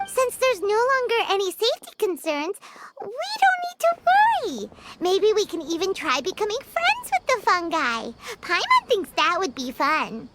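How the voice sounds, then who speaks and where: An actress playing a small, excitable childlike fairy companion in a video game, chatty and eager, with a squeaky voice. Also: female voice, unhurried, heavy background noise, full character voice. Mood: cheerful, eager. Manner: bubbly, refers to herself by name. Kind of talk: animated video game voice acting. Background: music.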